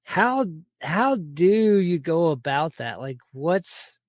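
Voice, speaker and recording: A man's voice: on the high side, very animated, takes it slowly, no room sound. A man hosting a podcast, upbeat and enthusiastic, often repeating words. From United States. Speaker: podcast host. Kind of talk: casual podcast interview.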